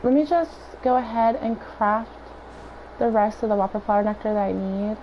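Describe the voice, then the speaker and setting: A hoarse woman with a raspy voice, chatting and thinking aloud in casual gameplay commentary as she streams. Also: medium pitch, normal speed. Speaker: game streamer. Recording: dull lo-fi stream audio with faint noise.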